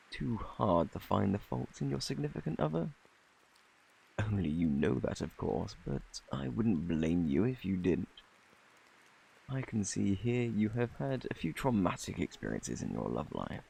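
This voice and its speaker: A deep-voiced British fortune teller at a fantasy inn, acted by a man, speaks with a gravelly edge. He is flirty and a little peculiar, with courtly, teasing turns of phrase in an ASMR roleplay style. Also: exaggerated voice, low-quality recording. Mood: gently advising.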